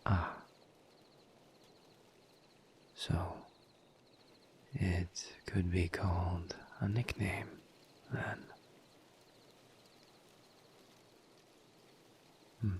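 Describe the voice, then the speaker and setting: Man playing a very slow, hushed, low-voiced demon. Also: fairly even intonation, a little hiss or hum. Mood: calm, perceptive. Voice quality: soft, breathy near-whisper. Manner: measured, halting, formal diction with long pauses. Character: calm, formal male misery demon, gently perceptive. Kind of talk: audio roleplay, intimate scripted monologue.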